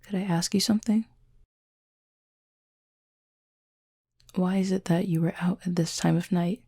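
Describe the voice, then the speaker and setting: A woman playing an injured fallen cupid in an intimate roleplay audio, soft and breathy, with a formal, measured, dryly aloof but curious manner. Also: fairly low pitch, fairly even intonation. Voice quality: soft, breathy, husky with fry. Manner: measured, formal, wordy phrasing.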